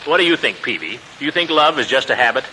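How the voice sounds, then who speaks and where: A man acting a jovial, excitable, romantic-minded fellow in a 1940s radio comedy, blustery and chuckling. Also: medium pitch, fast talker. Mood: jovial, eager. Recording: very noisy, crackly old radio recording.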